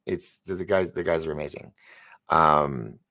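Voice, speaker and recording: A man's voice: extremely low pitch, steady tone, normal speed, bright, forceful tone. A man in his fifties hosting a live stream, chatting casually and rambling as he reads out viewer comments. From San Francisco. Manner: rambling, chatty, stumbles over words. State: recovering from an injury. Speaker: host of a live stream show.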